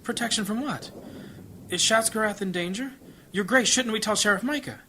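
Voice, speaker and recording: Weary, sighing old priest. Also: male voice, high-pitched, moderate pace, sharp tone. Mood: flustered, humble. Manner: hesitant, stammering when flustered. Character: weary old male priest, anxious and humble. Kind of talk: fantasy audio drama acting. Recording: clear background ambience noise.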